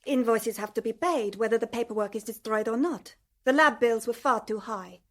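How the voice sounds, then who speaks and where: An actress playing a composed, practical, sharp-witted woman in a radio drama, with a matter-of-fact, wry delivery. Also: on the high side, moderate pace, no room sound, lightly acted. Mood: composed, wary.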